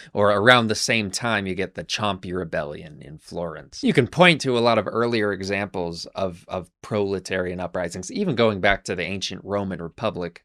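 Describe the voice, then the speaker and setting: A man's voice: low voice, a bit bright. A man hosting a history channel, explaining events in a conversational lecture with fillers and restarts.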